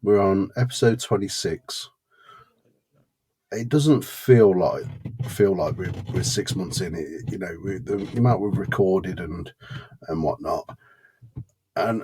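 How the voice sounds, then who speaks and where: A man's voice: low voice, darkish tone, husky. A British man co-hosting a podcast, chatting casually with a friend. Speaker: podcast co-host. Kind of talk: casual chat between friends.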